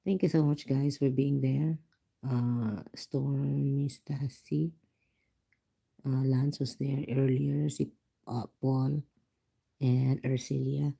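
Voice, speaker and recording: Soft-spoken Filipino-accented vlogger, husky and slow. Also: mid-range voice, level tone, rough recording. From Philippines. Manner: speaking softly and quietly to avoid disturbing neighbors. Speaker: livestream host. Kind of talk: casual livestream chat. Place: home, indoors.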